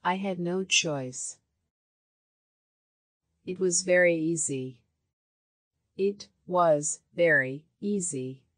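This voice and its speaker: A woman reading short practice phrases for a language course, enunciating each one clearly and repeating it. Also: lowish voice, very slow, pauses often, no echo.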